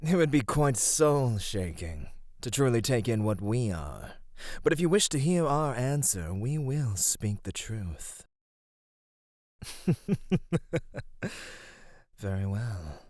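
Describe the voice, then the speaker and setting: A man playing a gentle, flirty immortal god of radiance in an ASMR roleplay, speaking softly and formally. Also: mid-range voice, very animated, takes it slowly, pauses often, dry recording, studio quality. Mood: calm, earnest. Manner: soft, formal, tender speech.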